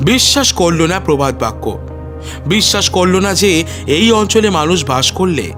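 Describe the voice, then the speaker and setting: A man narrating a story in a measured, literary and dramatic way, with strong emphasis. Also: sits high.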